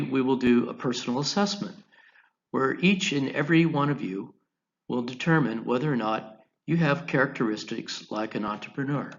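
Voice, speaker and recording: An American man teaching an online class, giving a deliberate, instructional lecture over slides, phrase by phrase. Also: medium pitch, gravelly, dull audio. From United States, Colorado.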